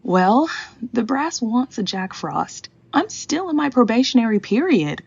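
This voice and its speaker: A woman's voice: a little high, very animated, measured pace, creaky, darkish tone, husky, highs cut off, full character voice. A woman playing an anxious, earnest, pleading department store employee in a comedic audio drama.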